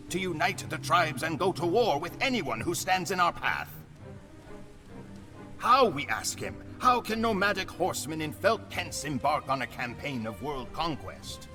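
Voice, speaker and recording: A man's voice: on the high side, very animated, unhurried. An actor playing a proud, grim Mongol warrior who chronicles his Khan's wars in a theatrical, solemn game cutscene narration. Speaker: campaign narrator. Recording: music under the voice. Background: music.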